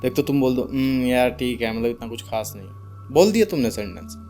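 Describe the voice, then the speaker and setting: A young man with an Indian accent and a deep voice gives informal speaking advice calmly, without strain, mixing in English words and leaving deliberate pauses. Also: faint music behind. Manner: calm, unforced, deliberate pauses; mixes English words into speech. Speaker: speaking coach. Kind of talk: informal advice talk to camera.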